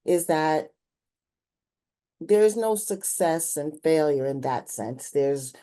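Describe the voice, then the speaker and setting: A woman's voice: deep voice, expressive, takes it slowly, dark tone. A woman interviewing in a conversational, reflective way, explaining her points and often checking in with the listener.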